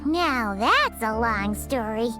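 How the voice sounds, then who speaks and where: A woman voicing a tiny, childlike, perky fairy companion in an anime video game, with a squeaky delivery. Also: big pitch swings, slow, over music. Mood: relaxed. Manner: squeaky, refers to self in third person.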